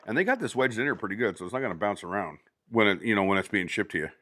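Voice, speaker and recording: A man's voice: fairly low pitch, lively intonation, very fast, bright, forceful tone. A man talking casually and conversationally as a product reviewer, showing items step by step in an unboxing video.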